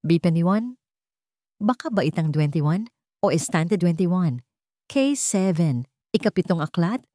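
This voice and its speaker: A woman's voice: on the low side, animated, slow pace, pauses often, warm tone, no echo, clean studio sound. A woman voicing an anxious, polite young woman in an audio story, hesitant as she speaks. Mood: puzzled.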